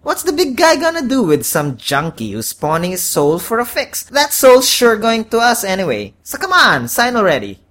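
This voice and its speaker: A man's voice: high-pitched, huge pitch swings, normal speed, few pauses, fairly bright tone, voice pushed up, full character voice. A man voicing an impatient, weary devil who talks like a streetwise, wisecracking salesman in an audio story. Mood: pushy, salesmanlike. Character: brash, impatient horned devil, a weary wheeling-dealing salesman.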